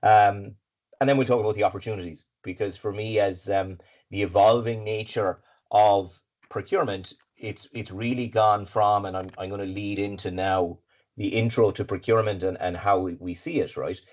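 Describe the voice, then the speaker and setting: An Irish man with a raspy voice, slightly congested from hay fever, giving an upbeat webinar talk as guest speaker. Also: on the low side, fairly even intonation, normal speed, a little mellow. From Ireland. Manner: upbeat, fluent, with filler words and repeats. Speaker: guest speaker, supply chain consultant. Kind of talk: webinar presentation. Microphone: video call. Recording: dull lo-fi video call audio.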